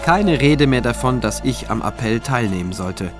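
A man's voice: mid-range voice, lively intonation, moderate pace, over music, close to an everyday voice. An actor playing a state prosecutor who narrates his case in a reflective, dry, observant first-person style for a radio drama. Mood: reflective, wry. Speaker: narrator and lead character.

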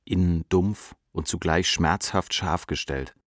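An actor playing the dark, doubting inner voice of a troubled writer in a literary radio play, with a husky voice. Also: male voice, low voice, a little slow, no room sound, studio quality. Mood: bleak.

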